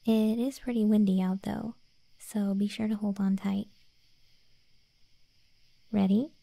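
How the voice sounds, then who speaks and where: Soft, dark-voiced gargoyle girl, acted by a woman. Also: mid-range voice, level tone, slow, no echo. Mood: friendly, helpful. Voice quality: soft, breathy, whispery. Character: protective gargoyle monster girl guard, stern then sweetly deferential. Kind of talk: ASMR roleplay. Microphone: close microphone, dry.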